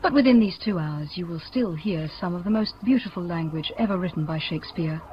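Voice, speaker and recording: A woman presenting a radio play with a scripted introduction, measured and articulate. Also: fairly low pitch. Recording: dull, noisy radio recording.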